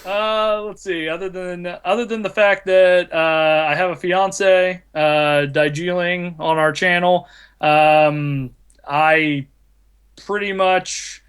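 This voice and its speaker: A man's voice: high voice, fairly even intonation, slow, fairly bright tone, clear, resonant tone. A man in his late twenties, raised in the United States, rambling and mocking himself as a podcast host. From Ireland, raised in the United States. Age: 20s. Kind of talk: casual chat.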